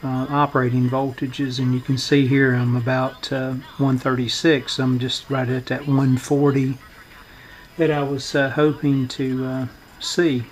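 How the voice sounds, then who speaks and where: A man giving a hands-on workbench demonstration as host, speaking in a halting, unhurried, matter-of-fact way. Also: medium pitch, little pitch movement, slight background noise. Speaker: host demonstrating a vintage radio repair. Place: indoor workbench.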